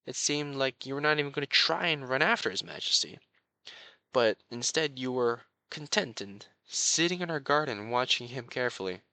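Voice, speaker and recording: A man playing a courtly young crown prince, besotted and quietly possessive, speaking formally and floridly to the listener in a romantic audio roleplay. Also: medium pitch, lively intonation, normal speed, dry recording. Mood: smug, adoring. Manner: formal, flowery, genteel speech.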